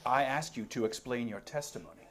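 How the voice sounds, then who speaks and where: A man's voice: medium pitch, a little fast, natural voice. A man playing an indignant congressman in a dramatic hearing reading, formal and accusatory as he presses the witness.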